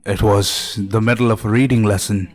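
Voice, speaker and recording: A man's voice: on the low side, steady tone, normal speed. A man reading a scripted first-person horror story as narrator, with music behind him.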